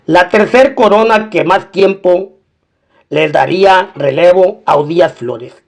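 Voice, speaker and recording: A man's voice: sits high, slow, gravelly. A man narrating a scripted documentary-style crime story, mixing in colloquial slang as he tells it.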